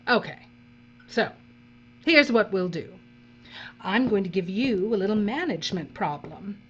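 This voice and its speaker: An actress playing a coolly menacing, condescending female overseer, measured and dry, in a dark audio drama. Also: medium pitch, animated. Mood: withering condescension. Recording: dull audio with faint mains hum.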